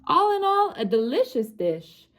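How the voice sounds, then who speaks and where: A woman's voice: high-pitched, sing-song, measured pace, fairly bright tone, voice pushed up, full character voice. A woman voicing a hungry storybook king who is picky and demanding about his food. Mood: kindly.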